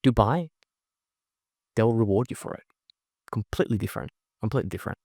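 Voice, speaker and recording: A young man whose first language is Spanish, with a mixed Spanish and Australian accent, talking as a podcast guest in an energetic, emphatic way and often ending with "right?". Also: lowish voice, no echo, clean studio sound. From Chile. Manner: fast, emphatic, leans on "right?" tags. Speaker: podcast guest, networking event organizer. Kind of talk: energetic casual interview talk.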